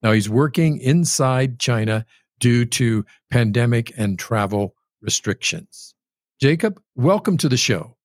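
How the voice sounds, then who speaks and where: A man's voice: medium pitch, expressive, unhurried, no echo, studio quality. A man hosting an interview, introducing his guest and then chatting with him in a friendly, easygoing way. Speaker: host.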